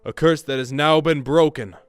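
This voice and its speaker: A man's voice: on the high side, fairly even intonation, moderate pace, clear, resonant tone, dry recording, faint music behind, close to an everyday voice. A man playing a proud, gracious king in an audio drama, speaking in a formal, proclaiming manner. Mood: proud, solemn. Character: proud, gracious fairy-tale king addressing his people.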